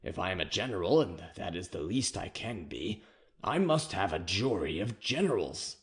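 Male narrator as a defiant imprisoned king: deep, slow, quietly scornful, lively in pitch. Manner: scornful, measured and disdainful. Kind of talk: audiobook character reading.

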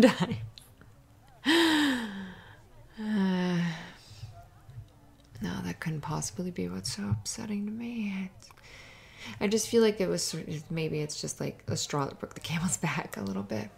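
A woman playing a sweet, flustered young cheerleader in an ASMR roleplay, halting and stammering as she hides that she is upset. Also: lowish voice, mellow. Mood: downcast. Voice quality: creaky, often dropping to breathy whispers. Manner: halting, stammering, nervous little laughs. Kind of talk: ASMR roleplay, spoken to the listener.

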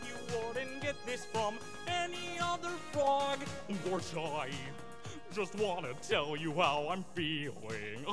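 A man's voice: sits high, big pitch swings, slow. A young man at a talent show doing comic impressions, singing in silly voices and laughing, into a podium microphone on an auditorium stage. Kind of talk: comic impressions over a backing track. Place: large school auditorium stage. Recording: backing music playing over the voice.

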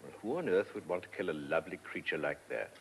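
A man's voice: sits low, lively intonation, fast talker, a little hiss or hum. An older man acting in a radio drama as a genial, chatty farmer and father, hospitable and hearty. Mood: musing.

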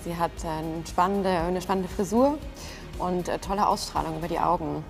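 A woman's voice: fairly low pitch, moderate pace, vocal fry, music in the background. A woman at a model casting, politely announcing decisions and praising candidates. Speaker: casting client. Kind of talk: casting feedback.

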